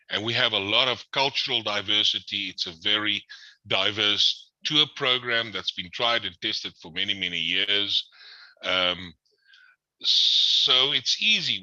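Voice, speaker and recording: A man's voice: medium pitch, sharp tone, no echo, dull audio. A man from South Africa with an Afrikaans accent, presenting a tour in a webinar, hesitant with many ums. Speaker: tour operator guest.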